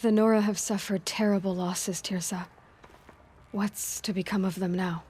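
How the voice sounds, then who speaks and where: A woman's voice: mid-range voice, fairly even intonation, normal speed, darkish tone, slightly rough, lightly acted. A woman playing a guarded, blunt young huntress in a video game, with a dry, direct manner. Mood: subdued, restrained. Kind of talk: video game dialogue acting.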